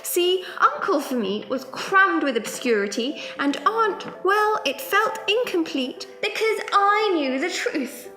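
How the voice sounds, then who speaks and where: A woman reciting performance poetry in an expressive, rhythmic delivery. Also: very high-pitched, takes it slowly, few pauses. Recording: echoey room.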